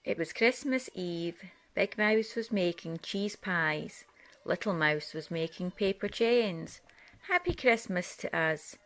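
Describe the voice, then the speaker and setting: A woman's voice: fairly low pitch, slow, fairly bright tone. Woman reading a children's picture book. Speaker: storyteller reading aloud to a child.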